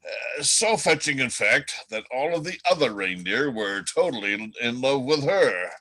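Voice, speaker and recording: A man playing a bright-voiced, chatty old-time storytelling reindeer. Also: medium pitch, animated, moderate pace, low-quality recording. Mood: amused storytelling. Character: chatty, world-weary male reindeer telling old North Pole gossip. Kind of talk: radio play reading by amateur readers.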